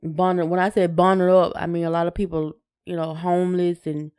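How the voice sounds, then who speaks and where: Low, very mellow American woman. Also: level tone, brisk, clear, resonant tone. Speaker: podcast co-host. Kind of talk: casual chat.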